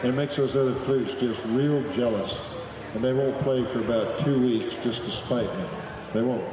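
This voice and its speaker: A man's voice: medium pitch, normal speed. A middle-aged man, talking to a live audience between flute pieces in a folksy, joking storyteller's manner. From Oklahoma. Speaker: flute performer addressing a festival audience. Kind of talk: live stage talk with folksy humor. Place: outdoor festival stage. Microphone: stage PA microphone. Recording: echoey live recording from far off. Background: festival crowd.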